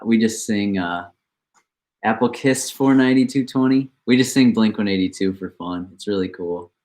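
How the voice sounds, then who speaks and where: A man's voice: fairly low pitch, moderate pace, darkish tone. A young man with a nasal voice, chatting casually and upbeat on a trading live stream. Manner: laid-back, upbeat, chatting about trades between songs.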